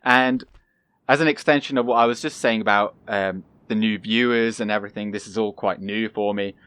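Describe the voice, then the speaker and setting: A young man giving calm, casual commentary over his own gameplay, soft-spoken and pausing often with fillers. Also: lowish voice, clear, resonant tone, no echo. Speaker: gaming channel host.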